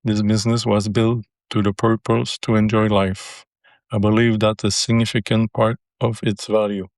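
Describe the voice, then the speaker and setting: Older quebec guy w/ french accent, low flat voice, careful halting english, dry sound. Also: dry recording. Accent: Québécois French. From Quebec, Canada. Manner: careful, halting non-native phrasing. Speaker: business seller. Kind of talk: interview answers.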